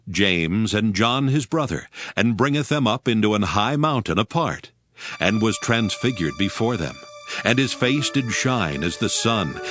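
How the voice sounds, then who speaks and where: Expressive male scripture narrator. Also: lowish voice. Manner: measured, formal storytelling. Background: music.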